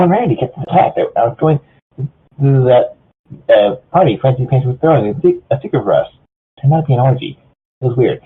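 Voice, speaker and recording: Chatty female cartoon party pony, performed by a man: eager, rambling, animated, heavily husky, thin muffled sound. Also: medium pitch, voice pushed up. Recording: muffled phone-like voice channel.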